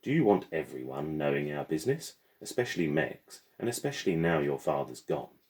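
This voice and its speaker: A man's voice: rumbling low, sing-song, brisk, a little mellow, full character voice. A man voicing a haughty, strict French woman guardian in a storybook, imperious and disapproving. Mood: stern. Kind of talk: storybook character voice.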